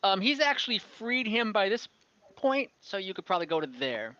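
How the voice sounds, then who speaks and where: Game master running online dnd, bright voice, improvising as they go, dry slightly dull audio. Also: mid-range voice, very animated, no room sound. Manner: halting, improvised delivery. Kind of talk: casual narration of an online tabletop game.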